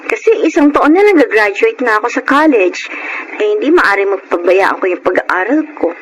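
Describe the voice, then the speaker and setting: A woman's voice: medium pitch, expressive, moderate pace, natural voice. A woman acting an earnest, emotional young girl in a Filipino radio drama. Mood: calm, determined. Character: Michelle, earnest tearful overweight girl striving to slim down. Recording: noisy radio drama recording.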